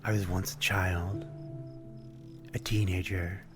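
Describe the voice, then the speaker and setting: A man playing a courtly, melancholy vampire stranger in an intimate ASMR roleplay, speaking in a formal, old-fashioned, gently wistful way. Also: low voice, flat delivery, slow, music in the background. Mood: nostalgic.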